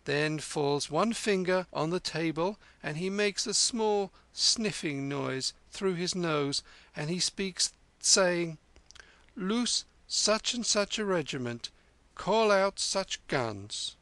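Man voicing a clever street boy, high and lively, sing-song, gravelly edge, storybook. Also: slow, no echo, full character voice. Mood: mimicking. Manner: raised, sing-song mimicry of an elder. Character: clever street boy, thirteen, bold and quick-witted.

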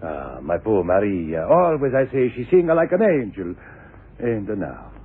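Excitable, swooping Italian opera gentleman. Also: on the low side, measured pace, husky, exaggerated voice. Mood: mournful. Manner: theatrical, effusive, broken English. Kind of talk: 1970s radio crime drama acting. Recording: tinny, noisy old radio recording.

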